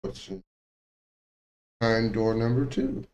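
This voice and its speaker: A man streaming a visual novel, reading its text aloud in a somewhat halting way with occasional stumbles. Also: fairly low pitch, sing-song, a little mellow, a little hiss or hum. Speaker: game streamer.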